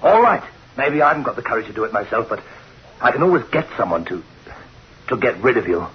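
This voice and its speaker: An actor playing a nervous, weak-willed, fed-up husband in a radio horror drama, halting and repeating himself. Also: male voice, mid-range voice, sing-song, slight background noise, lightly acted. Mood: exasperated. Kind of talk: 1960s radio horror acting. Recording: muffled old radio recording, loud music.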